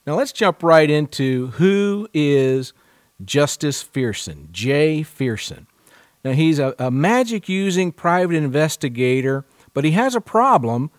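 A man's voice: on the high side, sing-song, slow pace, no echo, clean studio sound. A man presenting a book podcast as its host, reading scripted segments and putting questions to a guest. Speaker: podcast host, contributing editor. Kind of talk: scripted podcast introduction and news reading, then interviewing.

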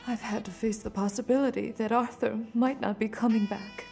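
Mellow-voiced sultry noir femme fatale. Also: female voice, a little high, fairly even intonation, a little fast, creaky. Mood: wistful, confiding. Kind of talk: noir radio-drama acting. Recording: studio production with music underneath. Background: music.

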